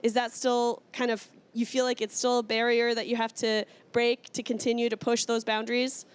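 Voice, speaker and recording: A woman from Iceland with a fairly high voice hosts a trade-show interview. She talks casually and with enthusiasm, keeping her questions informal. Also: level tone, moderate pace, clean tone. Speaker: interview host.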